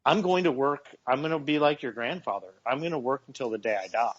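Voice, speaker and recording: A man's voice: mid-range voice, quick pace, a bit bright, dull audio. A man in his forties co-hosting a casual radio talk show, earnest and repeating words as he works through his points. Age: 40s. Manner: earnest, repeats words while gathering thoughts.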